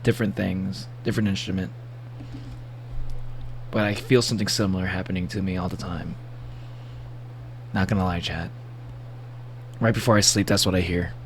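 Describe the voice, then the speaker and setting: A man with a gravelly voice giving a casual livestream reaction, rambling and joking playfully as he comments and reads bits aloud. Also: sits low, fairly even intonation, moderate pace, pauses often, slight background noise. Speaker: streamer reacting to horror videos.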